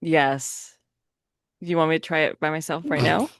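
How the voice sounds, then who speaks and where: A woman co-hosting a podcast in casual chat, enthusiastic and playful, quick to cheer her partner on. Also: low voice, fairly even intonation, creaky. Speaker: podcast co-host.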